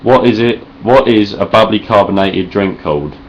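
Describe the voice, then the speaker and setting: Low-voiced essex geezer mumbling sarcastic rants at his webcam, bit of hiss, dull muffled audio. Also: normal speed. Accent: Cockney Essex. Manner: deadpan, sarcastic, rambling, heavy swearing. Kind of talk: sweary deadpan vlog response.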